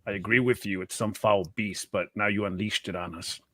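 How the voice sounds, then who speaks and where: A man playing a tabletop game, giving quick actions and dialogue for his character. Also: lowish voice, steady tone, a bit bright, no room sound. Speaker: tabletop roleplay player.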